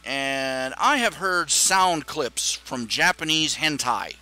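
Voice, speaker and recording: A man's voice: on the high side, lively intonation, slow pace, pushed and bright. A man hosting a live stream, talking in a casual rambling monologue with many stammering restarts and chuckling asides.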